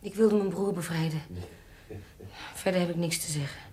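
An actress playing an exhausted, beaten, resigned prisoner who speaks weakly in a radio drama. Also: female voice, lowish voice, normal speed, warm tone, soft background music, lightly acted. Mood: exhausted, defeated. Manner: weak, faint, resigned.

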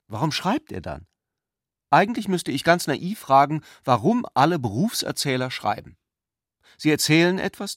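A man acts a courteous, eloquent intellectual with an ironic bent for a literary radio play. His voice sits at a medium pitch and speaks deliberately, with a very dry, close sound. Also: no echo, close to an everyday voice. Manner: eloquent, deliberate, earnestly polite. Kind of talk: German literary radio drama.

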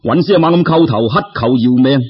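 A man's voice: medium pitch, fast, breathy edge. A man telling a folk tale in Cantonese, colloquial and dramatic, peppered with exclamations and sound words. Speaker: storyteller. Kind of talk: animated folk-tale narration. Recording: slightly dull, lo-fi audio.

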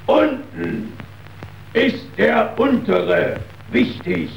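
Pompous, chanting chorus figure, very high and creaky, distant echoing 1930s radio recording. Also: male voice, expressive, very slow, exaggerated voice. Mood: solemn, pompous. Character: pompous chorus man, guardian of the social order. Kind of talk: 1930s radio choral declamation. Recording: muffled, echoey, noisy, distant 1932 radio recording.

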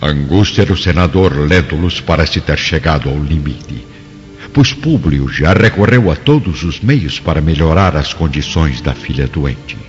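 A man's voice: rumbling low, lively intonation, measured pace. A man narrating a scripted radio drama in a solemn, theatrical manner. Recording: dull, reverberant recording with music.

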